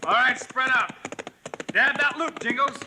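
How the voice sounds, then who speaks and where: An actor playing a calm, steady frontier lawman in a 1950s radio western, giving firm clipped commands. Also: extremely high pitch, unhurried, slight background noise, heavily acted. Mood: commanding.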